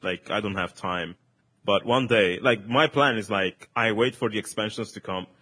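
A man chatting excitedly about a video game on an online call, swearing casually and saying "like" a lot. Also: lowish voice, normal speed, slightly rough.

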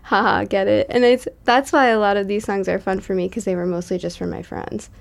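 A woman's voice: medium pitch, steady tone, a little fast, vocal fry. A young woman from Massachusetts, a musician guest on a podcast, talking casually with lots of likes and ums. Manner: chatty, laughs often, many likes and ums.

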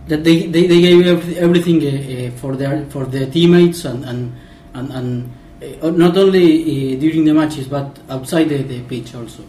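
Halting man, far from the mic. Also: fairly high pitch, mellow, roomy sound, slight background noise. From Argentina. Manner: halting, many fillers and restarts. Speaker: podcast guest. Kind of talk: casual football podcast chat.

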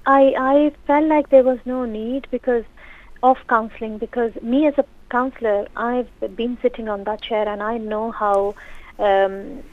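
A woman whose first language is Urdu, a counsellor and author speaking as a radio guest, reflective and halting. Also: a little high, fairly even intonation. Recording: tinny phone line.

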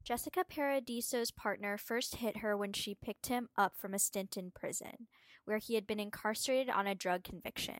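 A woman's voice: medium pitch, moderate pace, dry recording. A woman reading a prepared text aloud as a guest presenter.

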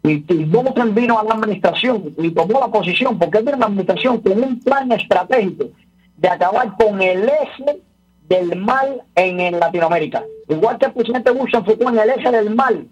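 Guy phoning into a radio show, keeps stumbling over words, husky, faint music under him. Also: sits high, lively intonation, brisk, lo-fi sound. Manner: repeats words, restarts often. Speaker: political commentator guest. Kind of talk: radio phone-in commentary.

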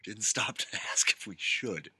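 A man's voice: on the low side, animated, moderate pace, sharp tone, slightly rough, no room sound. A man co-hosting a casual podcast, joking and rambling with self-mocking asides. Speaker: podcast co-host. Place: rural home studio.

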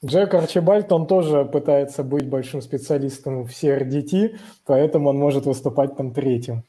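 A man's voice: mid-range voice, moderate pace, warm tone. A man co-hosting a podcast in casual chat, making jokey asides and groping for names. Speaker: podcast co-host.

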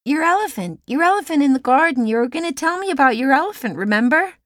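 A woman voicing an eager, excitable young boy in an audiobook, talking quickly and insistently. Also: high-pitched, no room sound, studio quality, voice pushed up. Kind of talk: audiobook character acting.

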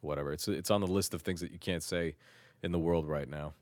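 A man's voice: low voice, steady tone, a little fast, a little mellow, no room sound. A man from Turkey talking in loose casual chat, rambling and self-mocking, with lots of swearing and restarts.

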